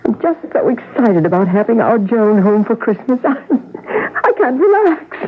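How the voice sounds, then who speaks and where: A woman's voice: fairly high pitch, sing-song, normal speed, slight background noise. An actress playing a fretful, fussy older mother waiting for her grown daughter in a radio drama. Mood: fretful, excited. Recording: tinny old radio broadcast.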